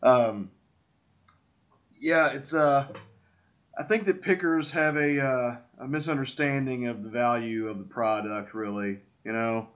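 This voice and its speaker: A man's voice: medium pitch, unhurried, fairly bright tone. A man with a stuffy nose from a head cold, hosting a casual interview and asking curious, chatty questions. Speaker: podcast host, wild mushroom forager.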